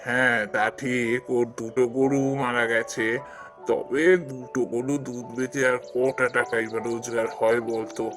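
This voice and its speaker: A man playing a kind, generous old village landlord in a cartoon fairy tale, explaining things in a measured way. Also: mid-range voice, flat delivery, over music, close to an everyday voice. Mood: benevolent, earnest.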